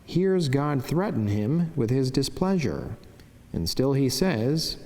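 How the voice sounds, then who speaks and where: A man with a medium-pitched voice reads a religious devotion from a script. His delivery is solemn, formal and measured. Every phrase carries a long, church-like reverberant tail. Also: very animated, darkish tone. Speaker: religious narrator reading a saint's life and devotional meditation.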